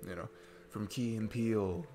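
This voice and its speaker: A man's voice: lowish voice, normal speed, warm tone, natural voice. A man playing a sweet, earnest, geeky single dad on a date, rambling and hesitant in an intimate ASMR roleplay. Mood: enthusiastic, rambling.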